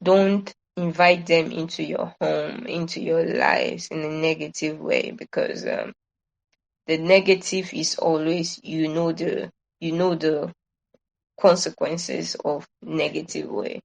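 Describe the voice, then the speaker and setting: A woman's voice: low-pitched, flat delivery, takes it slowly, vocal fry, rough recording. A woman giving an informal online presentation, advising in an earnest, emphatic way and repeating her points. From Nigeria.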